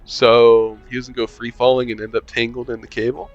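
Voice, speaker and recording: A man's voice: mid-range voice, level tone, normal speed, muffled recording, faint music behind. A young man reading a visual novel aloud, playing the player character with casual, joking asides. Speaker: gaming channel host.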